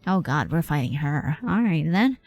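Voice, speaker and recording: Woman streamer, lowish voice, expressive swings, unhurried, slangy, playful swearing, faint game music. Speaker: game livestreamer. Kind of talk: casual reaction commentary, slangy.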